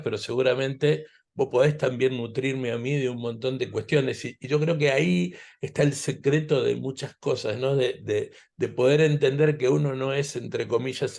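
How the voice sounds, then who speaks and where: A male interview host with an Argentine accent and a gravelly, mid-pitched voice. He muses conversationally, circling back over words as he looks for the right one. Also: a little mellow. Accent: Argentine Spanish. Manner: halting, searching for words, repeats himself.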